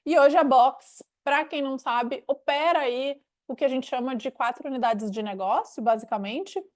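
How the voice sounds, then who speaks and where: Higher-voiced gaúcho Brazilian woman presenting. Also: moderate pace. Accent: gaúcho Brazilian Portuguese. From Rio Grande do Sul, Brazil. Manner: didactic, explanatory. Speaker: webinar host, director of a knowledge unit. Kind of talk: conversational presentation.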